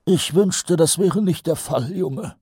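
A man voicing a weary, reluctant older watch sergeant in an audiobook, husky and grudging, with some bluster. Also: fairly high pitch, takes it slowly, dark tone, dry recording, studio quality, pitched above the performer's own voice. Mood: gloomy, doubtful. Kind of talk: audiobook character voice.

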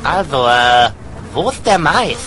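A man voicing a dull, crude, bossy teenage boy slacker in an animated comedy dub, with slow-witted, drawn-out hesitations and a dismissive manner. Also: a little high, background noise. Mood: annoyed.

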